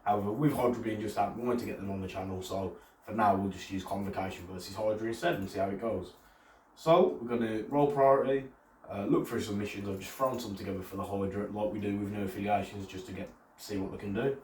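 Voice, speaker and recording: A man hosting a tabletop game, his voice nasal and raspy because he is under the weather. He talks quickly and explains as he goes. The mic sits a little way off in an echoey room. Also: on the low side, darkish tone, slight background noise. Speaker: host presenting a tabletop battle report. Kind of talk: casual explanatory chat. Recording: roomy, distant mic.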